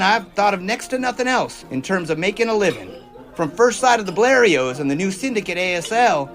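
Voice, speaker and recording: An actor playing a cheerful, eager American test pilot of the 1920s in an audio drama, with a folksy, teasing manner. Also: male voice, sits high, a bit bright, full character voice. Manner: folksy, jaunty, enthusiastic. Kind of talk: period audio drama acting.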